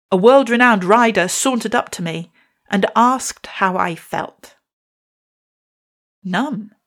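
Slow-spoken wry woman poet. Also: mid-range voice, fairly bright tone. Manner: wry, self-deprecating. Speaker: podcast host and poet. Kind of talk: reflective storytelling and poetry reading.

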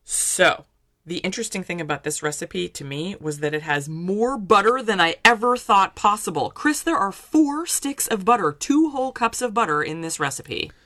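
Bright, chatty woman podcast co-host. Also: mid-range voice, lively intonation, normal speed, no room sound, studio quality. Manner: chatty storyteller, laughs easily. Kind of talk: casual chat about baking.

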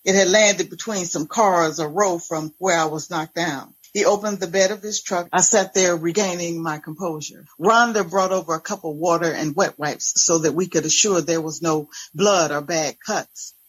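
A woman's voice: fairly low pitch, moderate pace, few pauses, husky, rough recording. A woman reading a first-person dramatic story as its narrator.

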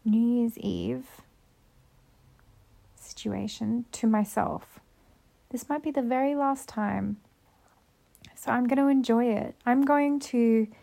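A woman's voice: a little high, creaky, a little mellow. A young woman chatting casually and upbeat to her viewers, a little sick with a cold. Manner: chatty, rambling, upbeat self-talk. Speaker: vlogger.

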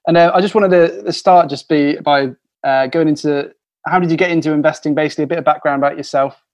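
Male podcast host, a bit high, scripted intro then halting questions. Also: steady tone. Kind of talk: interviewing.

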